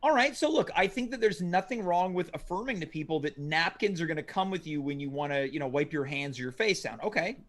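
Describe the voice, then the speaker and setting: A man's voice: on the high side, a little fast, hardly any pauses. A man hosting a comedy show, reading a menu aloud and grilling his guest in a dry, mock-serious way. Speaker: show host. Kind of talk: comedic interview.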